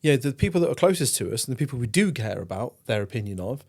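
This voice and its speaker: A man's voice: mid-range voice, sing-song, rapid-fire, a little mellow. A man hosting a casual podcast conversation, rambling and informal, umming and ahing and swearing freely. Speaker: podcast host.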